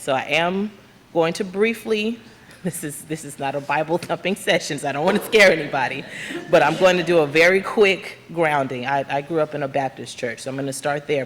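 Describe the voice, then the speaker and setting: Loud earnest woman from Georgia, echoing sanctuary. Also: low voice. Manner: loud, earnest, speaking from the heart. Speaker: guest speaker, labor union activist. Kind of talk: speech to a church congregation. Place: church sanctuary. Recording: long hall reverb, faint room noise.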